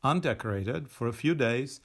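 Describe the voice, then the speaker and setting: A man's voice: medium pitch, expressive, normal speed. A middle-aged man from Sweden, a native Swedish speaker, chatting casually on a podcast while knitting, explaining things with many fillers and restarts. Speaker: podcast co-host. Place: indoors at a table.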